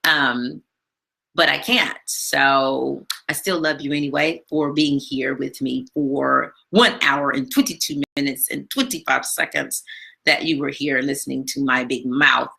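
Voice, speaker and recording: Woman, live-stream host, bright forceful tone, low pitch, chatty with fillers. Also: fairly even intonation, measured pace, vocal fry. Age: adult with a daughter. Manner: chatty, many fillers, big loud voice. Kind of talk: casual live-stream chat. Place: home, not her usual studio.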